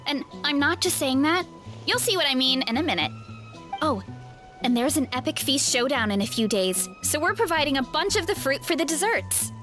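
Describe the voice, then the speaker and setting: A cheerful young woman with a big appetite in a video game, friendly and quick to laugh. Her voice sits high and moves in lively swings. Faint game music hums behind her. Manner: bright and friendly, laughs easily. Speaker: game character showing guests an orchard. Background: soft game music.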